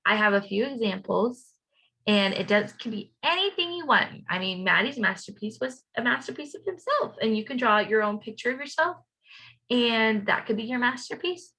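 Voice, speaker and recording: A woman talks to young children as their teacher, walking them through a craft activity. Her delivery is animated and simple, with a bright edge to the voice and noticeable creak. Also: on the high side, normal speed, poor audio. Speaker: teacher reading aloud and leading a children's activity. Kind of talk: children's storybook read-aloud and craft instruction.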